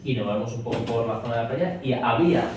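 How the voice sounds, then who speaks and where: A man speaking at a political meeting, pushing his points rapidly and looping back with restatements, recorded in a meeting room. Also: mid-range voice, a little mellow. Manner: rapid, talks in restarts with frequent "es decir". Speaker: speaker at a party talk. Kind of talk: public talk and Q&A. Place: meeting room at a party office. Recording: roomy echo, distant mic, faint hiss.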